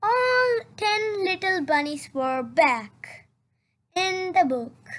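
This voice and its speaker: A very high, bright young voice reads a picture book aloud as storyteller. The reading is very slow and lively, with sound words exclaimed dramatically.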